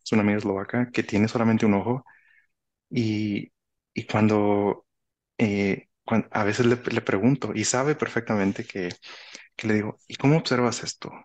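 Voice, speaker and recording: A man in his thirties with a Mexican Spanish accent, explaining casually and reflectively, often halting and repeating phrases, on a headset in a video call. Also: fairly low pitch, fairly even intonation, darkish tone, lo-fi sound. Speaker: author, interview guest. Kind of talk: casual conversational explaining with anecdotes. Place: indoor room, video call.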